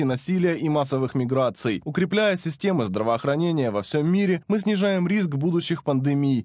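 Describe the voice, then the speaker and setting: A man reading a scripted voice-over, his voice placed a little high. The delivery is even and formal throughout. The recording is dry and close. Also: no room sound. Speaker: voice-over reader.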